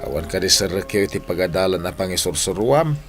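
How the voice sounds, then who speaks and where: Casting: middle-aged father, deep concerned voice, Ilocano Filipino accent, radio drama over faint music. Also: expressive, a little fast. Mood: concerned, reassuring. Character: concerned, fatherly parent advising his grown daughter.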